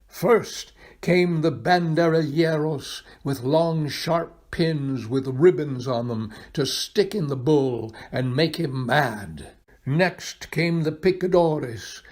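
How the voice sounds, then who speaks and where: A man reading a children's picture book aloud expressively, pausing for drama and addressing his young listeners. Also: a little high, a little mellow. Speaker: volunteer storybook reader.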